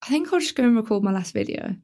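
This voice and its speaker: An actress playing a quick-tempered, wry teenage schoolgirl in a radio drama, with a sarcastic, dramatic delivery. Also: fairly high pitch, expressive, dark tone, lightly acted.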